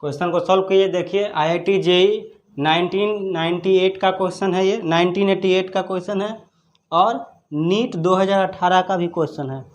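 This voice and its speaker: A man with a noticeably high voice gives a step-by-step lesson. He speaks as a teacher, instructing and repeating each point so students can follow. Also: steady tone, moderate pace. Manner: repetitive, instructive. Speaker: chemistry teacher. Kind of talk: step-by-step exam problem lesson.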